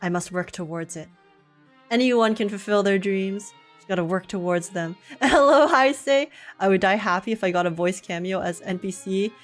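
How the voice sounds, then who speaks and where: Female game streamer, mid-pitched, casual and giggly, chats and reads aloud over soft game music. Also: moderate pace. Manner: giggly, informal. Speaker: game livestreamer. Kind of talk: casual livestream chat, reading text aloud.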